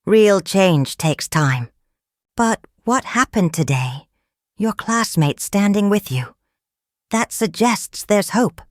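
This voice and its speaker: A woman voicing a gentle, supportive father in a storybook narration, speaking in a reassuring, thoughtful way. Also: medium pitch, expressive, slow, no room sound, studio quality.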